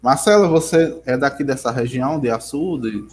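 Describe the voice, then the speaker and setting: A man's voice: mid-range voice, moderate pace, a little hiss or hum. A man teaching an online geography class with a Rio Grande do Norte accent, rambling and restarting his sentences as he calls on students over a video call. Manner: rambling, restarts often, addresses students by name. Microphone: computer mic on video call.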